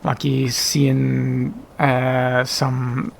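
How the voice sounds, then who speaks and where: Gravelly man narrating his gameplay. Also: mid-range voice, completely flat delivery, drawn-out words, slight background noise. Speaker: gaming video host. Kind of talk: casual gameplay commentary.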